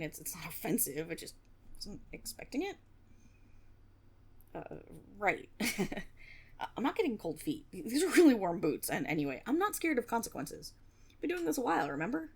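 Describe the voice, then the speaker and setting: A woman's voice: medium pitch, breathy edge. A woman playing a flustered young tiefling rogue in a fantasy audio roleplay, stammering and blustering, cocky on the surface but unsure underneath. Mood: hesitant, awkward, then defensive, boastful. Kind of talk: fantasy roleplay audio drama, one-sided dialogue.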